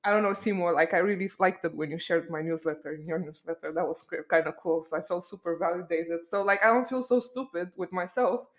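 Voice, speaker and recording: A woman from Romania hosting a podcast, asking questions in an animated, self-teasing way. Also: on the low side, fairly quick, hardly any pauses. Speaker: podcast host. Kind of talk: casual podcast conversation.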